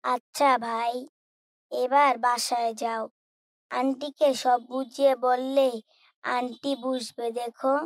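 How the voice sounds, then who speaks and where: A high, young schoolboy voice performed by a woman, polite and gentle, recorded close and dry. He speaks earnestly and respectfully, like a devout child in a moral cartoon. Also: flat delivery, slow pace, pauses often, no room sound. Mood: earnest, consoling. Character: pious, polite, gentle schoolboy son, Raihan. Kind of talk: scripted Islamic cartoon dialogue.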